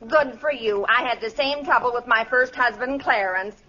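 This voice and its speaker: An actress playing a chatty, wisecracking, sympathetic maid in a 1940s radio comedy. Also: female voice, fairly high pitch, normal speed, bright, forceful tone. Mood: chatty, amused. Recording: muffled old radio recording, faint noise.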